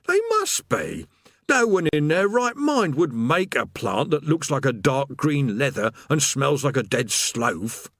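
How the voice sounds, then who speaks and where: A man's voice: a bit bright, no room sound, clean studio sound, heavily acted. A man voicing a put-upon, mournful demon gatekeeper who grumbles like a tired petty official, in an audiobook story. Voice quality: rasping, rumbling. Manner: plodding recited customer-service patter breaking into chatty working-class grumbling.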